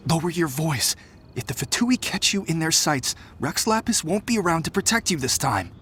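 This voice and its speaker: A high-ish, gossipy townsman. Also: very animated, normal speed, a bit bright, a little hiss or hum. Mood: conspiratorial. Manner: chatty, opinionated. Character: gossipy male townsman, knowing and conspiratorial. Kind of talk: video game voice acting. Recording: prominent background music.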